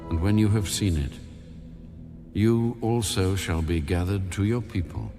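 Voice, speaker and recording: A man's voice: sits low, unhurried, mellow. A man playing God with solemn authority in a dramatized Bible reading. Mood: solemn, commanding. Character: God, commanding solemn male divine voice. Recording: music bed under the voice.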